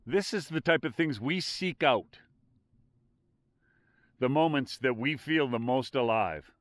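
An older man narrating a hike outdoors on a windy volcanic ridge, calmly explaining the landmarks around him. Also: medium pitch, dry recording. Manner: unhurried, explanatory, pointing out landmarks. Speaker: hiking vlogger. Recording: faint wind noise.

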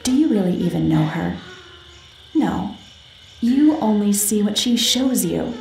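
A woman voicing an eerie, playful young ghost girl in a video game, possessive and teasing, speaking haltingly. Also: medium pitch, animated, gravelly, darkish tone, a little hiss or hum. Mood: coy, possessive. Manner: halting, teasing, giggly, talks to unheard companions. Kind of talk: video game character acting. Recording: echoey room, music underneath. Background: game music.

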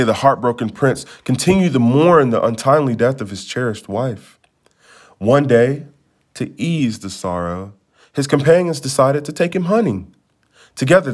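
A man narrates a storybook in a read-aloud style. His voice sits at a medium pitch, and his phrasing is expressive and dramatic.

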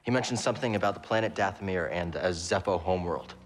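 A man's voice: sits low, fast talker, natural voice. A young man playing an earnest, determined hero in a cinematic video game, speaking plainly and directly. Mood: serious. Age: young adult.

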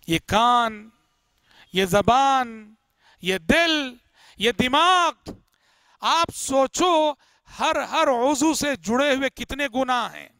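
A middle-aged man delivering a religious lecture, slowly reciting Arabic prayers and explaining them with emphatic repetition, recorded on a headset mic indoors. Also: extremely high pitch, bright, forceful tone, smooth, dry recording. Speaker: Islamic lecturer. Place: indoor room with curtained window.

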